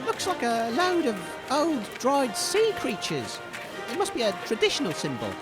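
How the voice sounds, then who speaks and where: Guy doing cheery naive british radio host, really high voice, super animated, comedy commentary w music behind. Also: normal speed, full character voice. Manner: chipper, earnest, excitable. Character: cheerful naive male radio host, gently chiding his co-host. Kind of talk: comedy audio drama, mock live event commentary.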